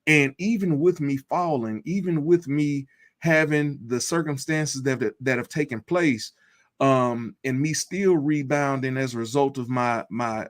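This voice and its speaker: A man telling his own comeback story as a motivational speaker, earnest and measured, repeating key phrases. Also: mid-range voice. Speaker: guest speaker.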